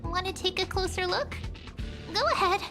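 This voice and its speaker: A cute little memory sprite for an anime game. The voice is girlish and extremely high, chirpy and affectionate, with a playful air of mystery. Clear music plays behind it. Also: fairly even intonation, moderate pace. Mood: curious, gentle.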